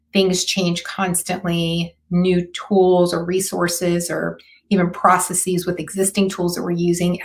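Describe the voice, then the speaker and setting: Hoarse woman hosting a live stream. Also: on the low side. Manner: chatty, with frequent fillers. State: hoarse, raspy voice. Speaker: host. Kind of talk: live-stream marketing talk to camera.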